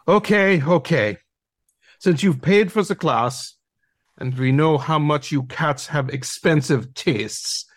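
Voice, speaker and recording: Man playing a bossy, pompous German Shepherd instructor, measured. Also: fairly high pitch, no echo, studio quality. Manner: imperious, declamatory. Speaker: actor in an audio play. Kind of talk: theatrical audio drama acting.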